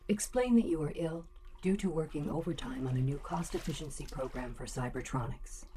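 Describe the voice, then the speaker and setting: Woman acting a crisp, bright, deadpan house computer. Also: low-pitched, a little hiss or hum, close to an everyday voice. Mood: helpful, measured. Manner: formal, deadpan, measured. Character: polite, emotionless computerized home assistant. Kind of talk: audio drama acting.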